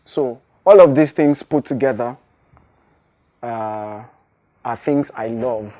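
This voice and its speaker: Young nigerian guy, nigerian accent, igbo is his first language, talks slow and thoughtful, mellow warm voice, keeps restarting sentences. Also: medium pitch. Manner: thoughtful, unhurried, with frequent restarts and fillers. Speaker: hip-hop artist. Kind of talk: reflective interview answers.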